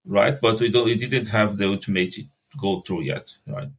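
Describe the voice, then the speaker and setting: A man's voice: lowish voice, fairly even intonation. A Brazilian man with a Brazilian Portuguese accent, answering interview questions in casual conversation, often stopping and restarting his phrases. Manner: halting, restarts phrases, non-native grammar. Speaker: podcast guest, translator.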